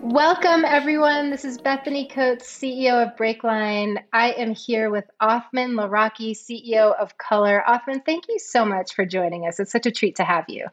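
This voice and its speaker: A woman's voice: fairly high pitch, normal speed, hardly any pauses, soft background music. Upbeat woman hosting a podcast. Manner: upbeat, enthusiastic. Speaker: podcast host. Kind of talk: scripted intro and interview hosting.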